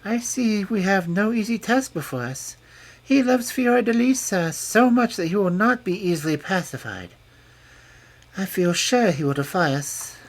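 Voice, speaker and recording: A storybook narrator voicing a stern, imperious fairy godmother in a very high, drawn-out voice. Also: animated. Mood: worried. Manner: shrill, high, slow and stern.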